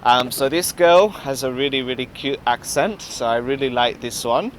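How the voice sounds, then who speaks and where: A young Australian man talks in an easy, laid-back way and fills his sentences with ums. His voice sits at an ordinary middle pitch. Traffic and city noise run clearly behind him outdoors. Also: normal speed. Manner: laid-back, laughs often, many ums. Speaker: dating coach. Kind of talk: casual street chat and voice-over commentary.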